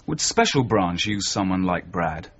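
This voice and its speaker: An actor playing an earnest, steady detective sergeant in a radio crime drama, measured and questioning in manner. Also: male voice, sits low, husky, heavily acted. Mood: thoughtful. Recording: muffled 1980s radio drama recording.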